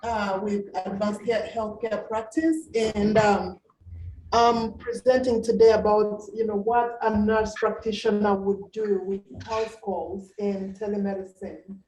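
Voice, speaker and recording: A woman, a nurse practitioner giving an informal talk at a meeting, pausing often with fillers. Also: mid-range voice, flat delivery, darkish tone, roomy sound, far from the mic, a little hiss or hum, rough recording. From Uganda. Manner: halting, many fillers.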